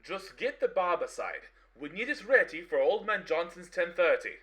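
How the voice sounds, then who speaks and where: A man's voice: high voice, very animated, normal speed, a bit bright. A man acting in a radio play as a touchy, impatient barbershop owner with a brusque, exasperated manner.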